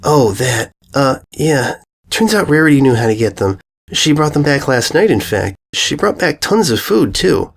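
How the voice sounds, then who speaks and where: A man's voice: medium pitch, sing-song, normal speed, vocal fry, pitched above the performer's own voice. A man voicing a timid young female pony who speaks in a hesitant, stammering, apologetic way. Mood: distracted.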